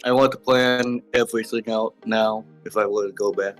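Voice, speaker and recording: A man answering casually as an interview guest, halting and repeating short phrases as he goes. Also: lowish voice, fairly even intonation. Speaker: interview guest, web series creator. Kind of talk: casual chat. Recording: music playing behind. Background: music.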